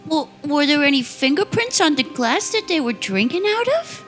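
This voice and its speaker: A woman's voice: sits high, very animated, normal speed, fairly bright tone, soft background music. A woman playing a prim, anxious secretary under suspicion in a comedic radio play.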